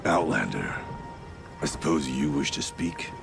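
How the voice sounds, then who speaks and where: A man's voice: a bass voice, lively intonation, unhurried, gravelly, over music, exaggerated voice. A man playing a stern, solemn warrior chieftain in a video game, terse and commanding. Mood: grave, formal. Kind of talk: video game dialogue acting.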